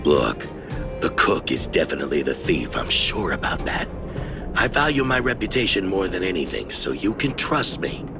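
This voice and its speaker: A man's voice: low voice, big pitch swings, normal speed. Blustering, wheedling game merchant: shifty salesman, extremely swooping intonation, theatrical, over background music. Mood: defensive, insistent. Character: shifty, blustering merchant, proud and easily cowed. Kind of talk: video game voice acting.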